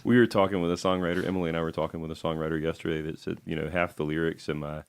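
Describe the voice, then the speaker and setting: A man's voice: very deep voice, little pitch movement, very fast, vocal fry. A man hosting a podcast interview, asking questions in rambling, restarting bursts. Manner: asks rambling multi-part questions, laughs easily. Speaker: podcast host.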